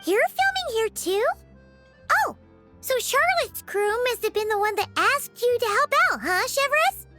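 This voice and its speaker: Game casting: very high, bouncy little fairy creature, exclaiming and bubbly, over game music. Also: female voice, animated, measured pace. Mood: chirpy. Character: tiny chirpy female floating companion creature. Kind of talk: video game voice acting.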